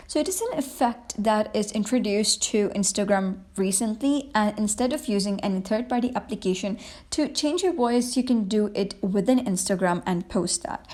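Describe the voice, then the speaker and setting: A woman with a fairly high voice narrates a how-to video. She walks the viewer through each step in an instructional, scripted style, addressing them directly. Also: darkish tone. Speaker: tutorial presenter.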